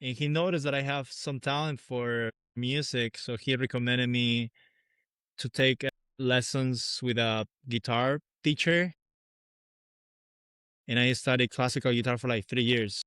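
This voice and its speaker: A man from Peru whose first language is Spanish, a guest drummer speaking in an easygoing, soft-spoken way and searching now and then for English words. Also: medium pitch, steady tone, a little slow, clean tone, no room sound. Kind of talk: casual podcast interview.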